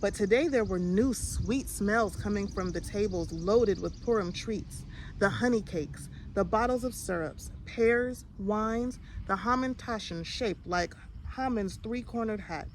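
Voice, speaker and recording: A woman reading a children's storybook aloud in an expressive way. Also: medium pitch, a little slow, a little hiss or hum, low-quality recording. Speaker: storybook reader.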